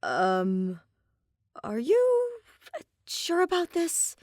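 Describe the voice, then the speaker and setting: A woman's voice: very high voice, wildly swooping pitch, very slow, a little mellow, dry recording, clean studio sound, pitched above the performer's own voice, heavily acted. A woman voicing a confused, sarcastic young man lost in a storybook land, hesitant and wry.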